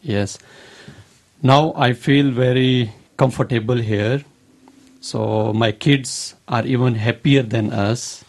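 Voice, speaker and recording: A man from Pakistan, answering interview questions on the radio in earnest, halting, formal phrasing. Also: mid-range voice, steady tone. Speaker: radio guest. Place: radio studio.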